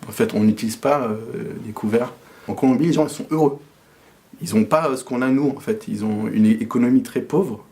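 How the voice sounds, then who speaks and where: A man's voice: medium pitch, brisk, warm tone. A young man from France, talking casually and reflectively as an interviewee, hesitant with many fillers and restarts.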